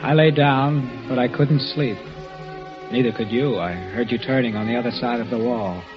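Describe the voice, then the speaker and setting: A man's voice: mid-range voice, moderate pace, close to an everyday voice. An actor playing a devoted, lovesick man in a 1940s radio drama, narrating in an earnest, reflective first person. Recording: dull old radio recording with music.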